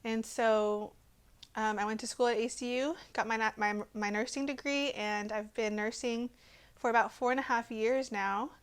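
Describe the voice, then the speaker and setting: A woman's voice: on the high side, steady tone, normal speed, clean tone. A woman in her twenties with an American accent, giving a personal testimony to camera in an earnest, hesitant way. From Texas, USA. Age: 20s.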